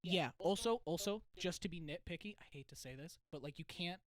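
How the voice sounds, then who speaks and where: A loud young man co-hosting a casual podcast, speaking close to the mic. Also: sits high, a little fast, dry recording. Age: young adult. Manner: loud and laughing. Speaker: podcast co-host. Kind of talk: casual chat. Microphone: close podcast microphone.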